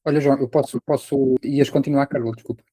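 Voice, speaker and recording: Mellow-voiced Portuguese man explaining casually. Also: mid-range voice, steady tone, fast talker, slightly rough, no echo, poor audio. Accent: European Portuguese. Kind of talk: casual podcast conversation, explaining with everyday examples.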